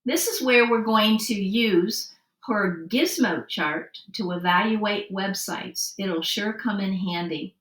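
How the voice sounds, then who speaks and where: A woman voicing a kindly, patient older male children's librarian in a storybook, with a gently humorous, helpful manner. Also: medium pitch, takes it slowly. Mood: patient, instructive. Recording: roomy, distant microphone.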